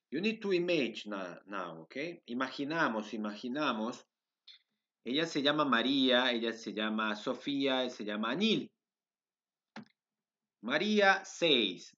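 A young man teaching a Spanish lesson over a webcam, drilling phrases again and again and switching into halting English. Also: mid-range voice, sharp tone, dull audio. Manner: slow, repetitive drilling of phrases. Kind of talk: online language lesson, mixing Spanish and English.

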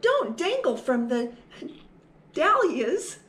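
A woman's voice: high-pitched, very animated, takes it slowly, a bit bright, echoey room, pitched above the performer's own voice, full character voice. A woman doing a patient mother mouse. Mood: warning.

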